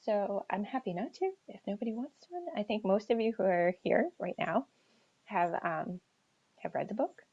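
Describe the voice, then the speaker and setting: An American woman chatting casually as an author on a livestream Q&A, thinking aloud in a halting, reflective way and often trailing off. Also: mid-range voice, animated, breathy edge. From New Hampshire seacoast, United States. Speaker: author answering audience questions. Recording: dull lo-fi livestream audio.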